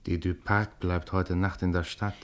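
Radio play casting: worldly, weary dramatist, low-voiced, resigned, quietly cynical. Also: male voice, level tone, measured pace, clear, resonant tone. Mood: resigned desire. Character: weary, worldly male playwright and troupe leader. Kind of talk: intimate German radio play acting.

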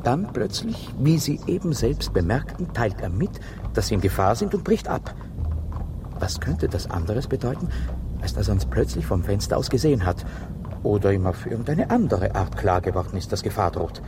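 Low-voiced, earnest detective. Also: male voice, big pitch swings, normal speed. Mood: urgent, reasoning. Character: cautious, earnest American detective on a manhunt. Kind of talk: radio drama acting. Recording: clear outdoor vehicle ambience noise.

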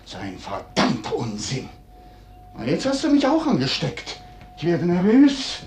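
An actor playing a sardonic, easily exasperated husband nearing seventy in a 1950s German radio play. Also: male voice, high-pitched, huge pitch swings, unhurried, darkish tone, a little hiss or hum, full character voice. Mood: exasperated, nervous. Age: 60s. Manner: sardonic, exasperated, dramatic swings of delivery. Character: indignant elderly betrayed husband, sardonic and unnerved.

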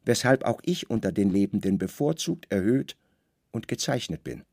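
Man voicing a devoted, proud great dog, gravelly. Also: lowish voice, expressive, normal speed, darkish tone, dry recording, studio quality. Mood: adoring, proud. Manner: solemn, reverent, worshipful. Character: huge devoted grey guard dog's adoring inner voice. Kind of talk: literary radio play monologue.

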